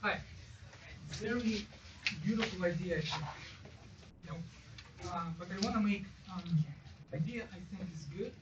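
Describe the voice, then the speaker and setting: An audience member offers feedback haltingly, circling back and pressing the point. The voice comes from off the microphone in an echoey space. Clear noise sits behind it. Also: medium pitch, dull audio. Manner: halting, repetitive, insistent. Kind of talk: spoken feedback at a business meeting. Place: event room with audience. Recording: distant mic, echoey room, clear noise.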